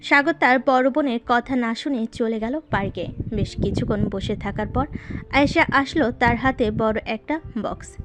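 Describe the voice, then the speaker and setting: Woman storyteller with fairly high voice. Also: normal speed. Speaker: narrator. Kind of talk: scripted story narration. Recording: voice over background music.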